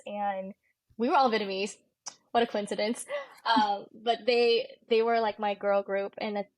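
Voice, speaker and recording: A woman's voice: medium pitch, no room sound. A woman in her twenties with an American accent, talking informally and candidly as a guest in a casual interview. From California, United States. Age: 20s. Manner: informal, candid, peppers speech with "like". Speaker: podcast guest, medical student.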